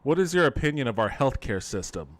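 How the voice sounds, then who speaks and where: A man's voice: medium pitch, very animated, fast, noisy background. A man streaming a game with casual, improvised commentary, provocative and mock-serious, with some swearing. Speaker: streamer playing an AI game demo.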